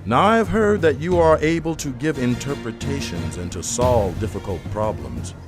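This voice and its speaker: A man's voice: mid-range voice, lively intonation, moderate pace, music in the background, lightly acted. An actor playing a commanding Babylonian king in a dramatized audio Bible, speaking formally and with measure. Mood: regal, inquiring. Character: Babylonian king, commanding, seeking answers from a wise man.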